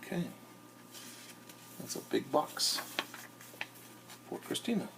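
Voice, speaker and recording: A man who is a teacher reads a storybook aloud to children in an expressive way, often turning to ask his listeners questions. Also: on the high side, slow, gravelly, background noise. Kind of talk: animated storybook read-aloud with asides to young listeners.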